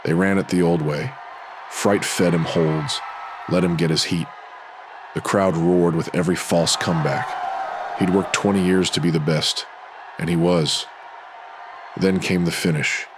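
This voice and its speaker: A man narrating a horror anthology in an ominous, measured pulp radio-drama style, in short dramatic phrases. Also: sits low, background noise. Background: music.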